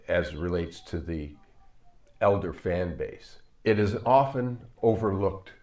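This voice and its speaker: An older man, a psychologist, talking to the camera from a home office in a measured, halting way with frequent fillers. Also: low voice, a little mellow. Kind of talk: talking to camera in a video commentary.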